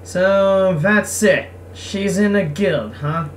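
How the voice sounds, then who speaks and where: A woman's voice: medium pitch, takes it slowly, close to an everyday voice. Woman as a testy, sarcastic young craftsman. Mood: scheming, boastful. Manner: sarcastic, boastful. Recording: distant mic, faint background noise.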